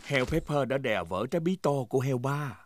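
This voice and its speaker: A man's voice: medium pitch, lively intonation, brisk, noisy background, natural voice. A man giving scripted narration for a preschool cartoon, with music behind the voice.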